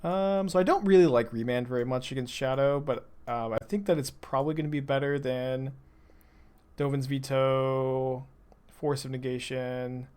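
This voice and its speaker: A man's voice: medium pitch, steady tone, normal speed, a little mellow, smooth. A young man from Texas with a mild urban Texan accent, thinking aloud in dry, sarcastic casual commentary as he plays a card game for viewers. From Texas, USA. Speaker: streamer playing a card game. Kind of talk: casual commentary over gameplay, chatting with viewers.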